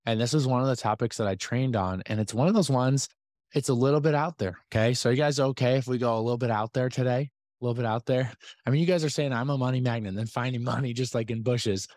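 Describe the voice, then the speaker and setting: Guy hosting a podcast, bit hoarse, chatty and upbeat, lots of ums, dry close mic. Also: mid-range voice, quick pace, no room sound. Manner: energetic, chatty, many fillers and asides. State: slightly hoarse from losing his voice. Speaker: podcast host and business coach. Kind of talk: casual talk to a community call.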